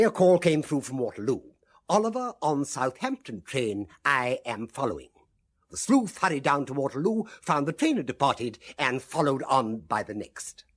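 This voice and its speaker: Husky, pedantic associate. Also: male voice, medium pitch. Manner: formal, deliberate, precise reporting. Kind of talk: radio drama acting.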